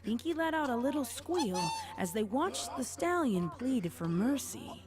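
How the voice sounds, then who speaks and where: A woman's voice: on the high side, very animated, unhurried. A woman reading scripted story narration as the narrator of an audio tale.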